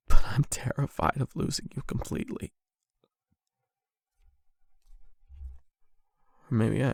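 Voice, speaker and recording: A young man playing a nervous, lovestruck online friend in an intimate ASMR roleplay, speaking haltingly and awkwardly with self-doubt. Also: fairly low pitch, gravelly, a little mellow, dry recording, clean studio sound. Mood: earnest, vulnerable.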